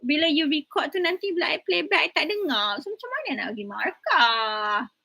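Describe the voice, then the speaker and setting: A woman's voice: high voice, lively intonation, a little fast, creaky, bright, forceful tone. A young woman lecturer with a Malaysian accent, giving an online class on presentation skills in a chatty, teasing way, mixing English and Malay.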